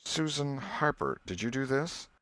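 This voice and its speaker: A wrathful schoolmaster from a storybook, performed by a male narrator. He draws his words out slowly and deliberately, stern and accusing, in a dry, close sound. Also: mid-range voice, fairly bright tone, dry recording, voice pushed up, lightly acted.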